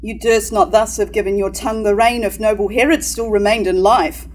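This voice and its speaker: A woman playing a proud, scornful, scheming royal sister in a staged reading of verse tragedy, declaiming in measured lines. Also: mid-range voice, fairly even intonation, darkish tone, slight background noise, close to an everyday voice. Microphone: stand microphone at lectern.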